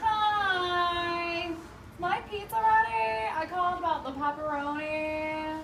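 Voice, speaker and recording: A woman's voice: very high voice, full character voice. A woman playing a spoiled, impatient young customer who whines and sighs in an improvised comic puppet show. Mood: whiny, impatient. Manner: drawn-out valley-girl whining with sighs. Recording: roomy, distant mic with background noise.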